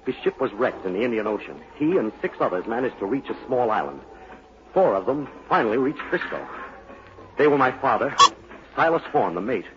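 An actor playing the haunted, brooding son of a mad sea captain in a dramatic, insinuating 1940s radio style. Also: male voice, mid-range voice, animated, brisk, lightly acted. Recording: muffled, hissy 1940s radio broadcast.

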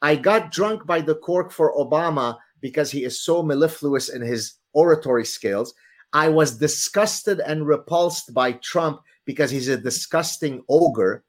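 A man whose first language is Arabic, a guest author explaining his ideas in a lively, theatrical, lecturing way. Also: fairly high pitch, unhurried. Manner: lecturing, theatrical, uses vivid examples. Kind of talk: animated explanatory talk.

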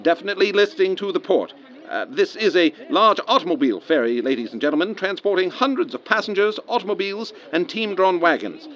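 An earnest 1930s radio reporter calling events live from the field. His voice is high and his delivery descriptive and a little hesitant, with a dull, lo-fi broadcast sound. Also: very animated, brisk, fairly bright tone. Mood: concerned, observant.

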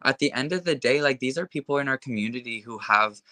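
A man's voice: medium pitch, fast, bright, forceful tone, dry recording. A young man being interviewed in casual conversation. He speaks with impassioned conviction and leans heavily on filler words. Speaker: interview guest.

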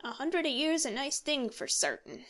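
A woman's voice: sits high, very animated, moderate pace, a bit bright, pitched above the performer's own voice. A woman voicing a meek, resigned old man worn by sorrow, with mild rustic speech, in an audiobook story.

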